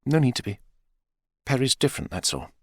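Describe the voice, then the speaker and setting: A man's voice: medium pitch, very animated, brisk, a bit bright, dry recording, voice pushed up. A man voicing a blunt, exasperated young woman with a curt, dry manner in an audiobook.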